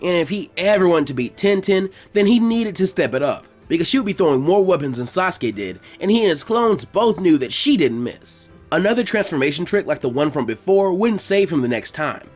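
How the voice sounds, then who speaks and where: A man's voice: high-pitched, big pitch swings, fairly quick, hardly any pauses, faint music behind. A man narrating a story from a script. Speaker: narrator of fan fiction readings.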